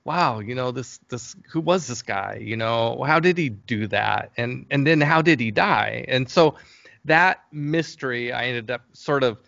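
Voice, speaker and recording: A man with a noticeably creaky, gravelly voice speaks as a guest in a casual interview. He is enthusiastic but halting, circling back as he tells his stories. The sound is dry and somewhat dull and lo-fi. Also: medium pitch, a bit bright, no echo. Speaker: interview guest, game creator. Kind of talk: casual interview talk, storytelling.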